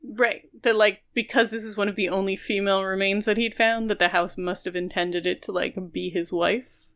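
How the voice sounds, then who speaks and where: A woman's voice: medium pitch, steady tone, moderate pace, a bit bright. Analytical woman podcast co-host. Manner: analytical, explains at length. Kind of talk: casual book discussion chat.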